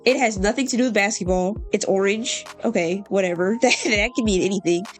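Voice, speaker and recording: A woman's voice: medium pitch, brisk, faint music behind. A young woman hosting her channel, sounding congested and under the weather, chatty and blunt as she ranks logos. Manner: chatty, blunt, laughs often. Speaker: channel host. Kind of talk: casual ranking chat.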